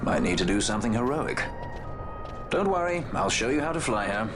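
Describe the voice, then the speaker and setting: A man's voice: medium pitch, big pitch swings, fairly quick, over music, lightly acted. A man playing a suave, self-assured rogue pilot in a video game, wry and casual. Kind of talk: video game cutscene acting.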